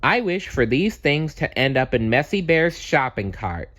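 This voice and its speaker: A man's voice: a little high, sing-song, measured pace, a bit bright, pitched above the performer's own voice, full character voice. A man voicing an eager, friendly young female cartoon bear in an earnest, sing-song storybook manner. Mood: eager, hopeful.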